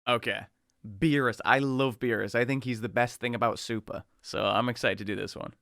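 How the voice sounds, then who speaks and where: Bright, creaky-voiced young man chatting. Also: mid-range voice, fairly even intonation, brisk, dry recording, studio quality. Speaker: content creator doing voice acting challenge. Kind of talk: casual chat to camera.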